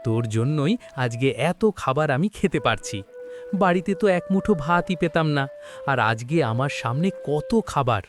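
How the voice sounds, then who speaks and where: A man voicing an innocent, excitable village boy in a cartoon story, in an earnest, wide-eyed manner. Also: fairly high pitch, normal speed, faint music behind, close to an everyday voice. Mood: delighted, grateful.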